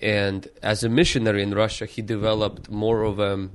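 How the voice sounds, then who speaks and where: A man from Ukraine with a somewhat low voice speaks earnestly and reflectively. He is a pastor guest who leads a prayer and then recounts his story, restarting phrases as he searches for words. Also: little pitch movement, normal speed, clean tone. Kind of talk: opening prayer, then storytelling interview answers.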